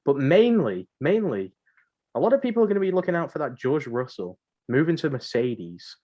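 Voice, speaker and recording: A man's voice: a little high, sing-song, normal speed, warm tone, muffled recording. A man hosting a casual podcast chat, somewhat hesitant and often repeating his phrases. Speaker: podcast host.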